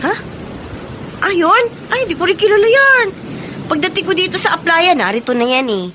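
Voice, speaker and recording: A woman's voice: very high voice, animated, moderate pace, background noise. A woman playing a bossy yet caring young best friend with a Filipino accent in a radio drama. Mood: casual, caring.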